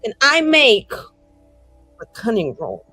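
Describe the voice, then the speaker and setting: A woman's voice: a little high, huge pitch swings, slow pace, sharp tone, dry recording, faint music behind. A woman playing a tabletop roleplaying game, talking casually and informally with the group.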